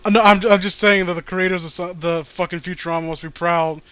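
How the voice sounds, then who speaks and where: Casting: man with a high, clear voice, sweary and rambling, for off-the-cuff riffing commentary. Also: steady tone, fast, sharp tone. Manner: profane, ranting, rambling. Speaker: co-host of a comic read-along video.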